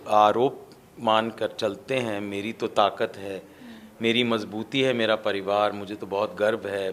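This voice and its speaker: A man's voice: lowish voice, fairly bright tone, a little hiss or hum. Indian guy, political candidate, earnest, lots of um and restarts, interview at home. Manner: earnest, measured, with frequent fillers and restarts. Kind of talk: interview answers. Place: his family home.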